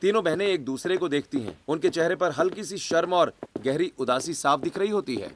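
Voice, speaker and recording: A man's voice: a little high, expressive, a little fast. A man reading out scripted story narration.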